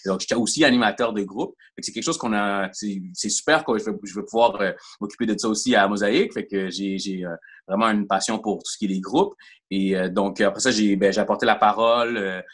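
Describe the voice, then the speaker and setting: A man in his thirties with a Quebec French accent and a low voice. He talks haltingly, with pauses and restarts, as he answers questions. He is heard through a laptop on a video call from a room at home. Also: slightly rough. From Montreal, Quebec. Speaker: incoming church staff member. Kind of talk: casual video-call interview. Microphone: laptop camera on a video call.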